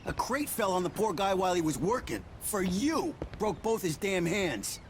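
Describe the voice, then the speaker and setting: An actor playing a hotheaded young dock worker who shouts with defiant anger. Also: male voice, high voice, lively intonation, moderate pace, noisy background. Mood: furious. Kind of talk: video game cutscene acting.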